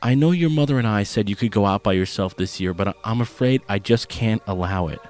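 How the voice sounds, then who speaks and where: A man's voice: on the low side, brisk, darkish tone, lightly acted. A man voicing a firm, protective middle-aged father who talks in a commanding way. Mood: stern.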